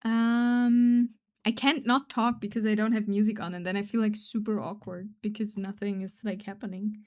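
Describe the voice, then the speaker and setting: A woman of middle pitch chats casually on a live stream while she draws. She rambles and pokes fun at herself, and she often drops into soft, quiet mumbling. She speaks English, though German is her first language. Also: flat delivery, moderate pace. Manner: rambling, self-deprecating, trails off into quiet mumbles.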